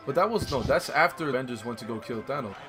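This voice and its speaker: A man's voice: mid-range voice, animated, fast talker, sharp tone, soft background music. A young man in a casual movie chat, speaking bluntly and with plenty of buddy-like slang. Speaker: co-host of a movie review chat.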